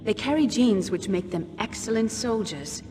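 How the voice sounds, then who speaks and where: A woman's voice: medium pitch, expressive, normal speed, close to an everyday voice. A woman playing a clever, composed genetic scientist in a video game, explaining things precisely and matter-of-factly. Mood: composed, explanatory. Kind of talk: video game cutscene voice acting. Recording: reverberant hall, loud background noise.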